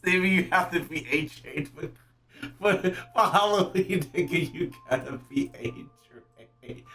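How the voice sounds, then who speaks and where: A young man doing casual, slangy reactions while playing a video game, breaking into loud laughter. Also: a little high, a little slow, faint music behind. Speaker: gaming streamer. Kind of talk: casual let's-play commentary.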